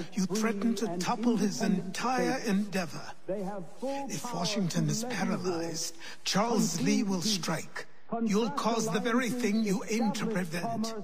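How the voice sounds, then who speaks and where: An actor playing a cautioning, faintly disdainful old mentor in a video game, with formal, measured phrasing. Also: male voice, high-pitched. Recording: loud crowd murmur behind.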